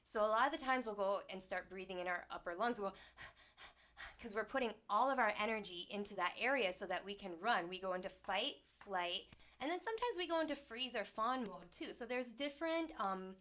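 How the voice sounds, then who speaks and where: Gentle woman hosting a healing livestream, kinda stuffy nose, slow and soft. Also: mid-range voice, lively intonation. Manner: soft, soothing, unhurried delivery. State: slightly congested. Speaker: host and sound healer. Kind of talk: livestreamed spiritual talk. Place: small home studio.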